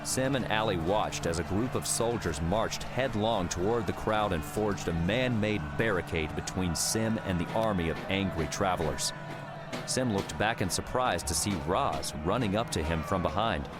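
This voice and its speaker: A man with a low voice reads a fiction audiobook. His narration is scripted and dramatic, laid over clearly audible music. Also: normal speed. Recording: background music under the voice.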